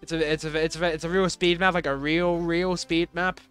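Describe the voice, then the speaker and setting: A man's voice: a little high, level tone, normal speed, a bit bright, smooth, soft background music. A young man casually commenting on his own game levels as he plays, rambling and self-deprecating, with lots of fillers and restarts.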